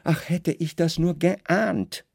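A man's voice: a little high, steady tone, takes it slowly, creaky, a little mellow, husky, no echo, studio quality, voice pushed up, full character voice. A man voicing a stern, commanding Roman governor in a radio drama, terse, imperious and troubled. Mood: frustrated.

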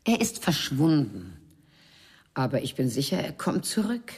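Very deep, husky, haughty madame. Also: female voice, very animated, a little slow, heavily acted. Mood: bitter, composed. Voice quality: husky, breathy. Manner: haughty, theatrical, swooping between indignation and hushed tones. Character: haughty mature Parisian bourgeois madame, disdainful, betrayed wife. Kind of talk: radio crime drama acting.

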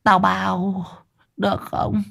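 A man's voice: sits high, completely flat delivery, slow pace, creaky, pushed and bright, voice pushed up, full character voice. A man voicing a sly, cowardly helper who pleads in a wheedling way.

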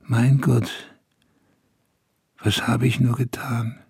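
An actor playing a wistful, bitter man in a first-person radio drama, speaking in a measured, intimate way. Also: mid-range voice, flat delivery, vocal fry. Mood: bitter, intense. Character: wistful man recalling a lost idol, brooding and bitter.

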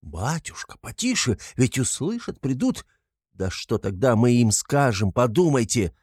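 A man reader puts on a cunning male investigator from a classic novel. He rambles and fusses, coaxing with obsequious, fatherly endearments that hide a sly intent. The pitch is medium and the sound is dry. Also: lively intonation, a little slow, a little mellow, no room sound. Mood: alarmed. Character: wily, fussy, cackling male police investigator, falsely fatherly.

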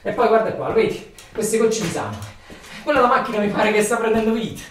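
An actor playing a weary, embittered factory worker in a theatrical radio monologue, with a southern Italian, Neapolitan accent and incantatory, repetitive phrasing. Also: male voice, high-pitched, lively intonation, fairly quick, fairly bright tone. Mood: brooding. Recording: echoey room, far from mic, noisy.

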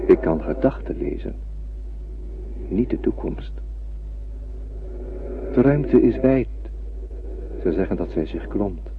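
An actor playing a grave, enigmatic telepath on a spaceship, speaking calmly and deliberately in a radio drama. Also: male voice. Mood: quiet, musing. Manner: calm, deliberate, cryptic. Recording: muffled, noisy radio drama with rumbling.

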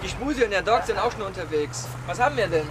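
A man's voice: high voice, animated, fast talker, background noise. An actor playing a junior male police detective, businesslike and inquisitive, in a radio crime drama.

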